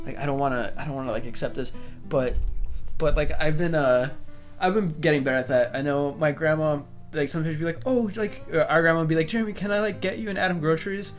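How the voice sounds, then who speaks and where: A man's voice: a little high, fairly even intonation, fast talker, faint music behind. A young man co-hosting a podcast, rambling casually with lots of fillers. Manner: rambling, filler-heavy, laid-back. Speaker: podcast co-host. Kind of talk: casual chat.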